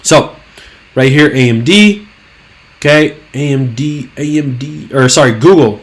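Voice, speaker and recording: Young male trading host, hoarse, slangy and hyped, mid-pitched, casual chart walkthrough. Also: a little slow. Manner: hyped, slangy, rambling with fillers. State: hoarse, losing his voice. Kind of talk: casual screen-share market commentary.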